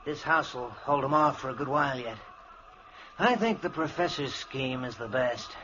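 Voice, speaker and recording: An actor playing a professor's blunt, ominous assistant in old-time radio drama, plain-spoken and insistent. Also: male voice, medium pitch, animated, moderate pace, bright, forceful tone. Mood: foreboding. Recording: muffled, noisy vintage radio recording.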